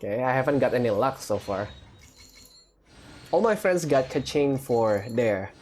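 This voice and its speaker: A man's voice: medium pitch, lively intonation, unhurried, darkish tone, slight background noise. A man hosting a gaming video, giving casual, off-the-cuff commentary in short reacting phrases.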